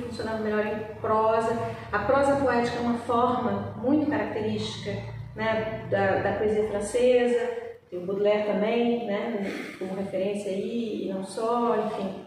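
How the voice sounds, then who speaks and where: A Brazilian woman with a lightly mixed Portuguese accent, a poet talking as an interview guest, reflective and full of pauses and restarts. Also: a little high, flat delivery, noisy background. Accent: slightly mixed Brazilian Portuguese, a bit of Minas Gerais. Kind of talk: thoughtful conversational interview. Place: library event room. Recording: echoey hall, speaker far from mic.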